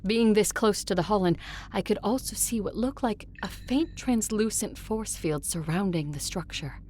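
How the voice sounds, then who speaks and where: A woman's voice: mid-range voice, moderate pace, a little mellow, a little hiss or hum, close to an everyday voice. A woman playing an adult female conqueror who narrates her quest in a first-person audio drama. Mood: measured narration. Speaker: narrator and lead character.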